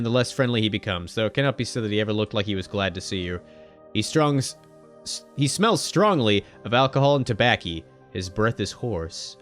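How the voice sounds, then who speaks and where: A man's voice: medium pitch, a bit bright. A man streaming a visual novel, reading its text aloud with wry, joking asides. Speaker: gaming streamer.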